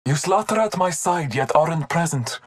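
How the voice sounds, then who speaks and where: A grave, low-voiced devout hunter. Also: female voice, animated, normal speed. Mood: solemn. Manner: solemn, archaic, formal.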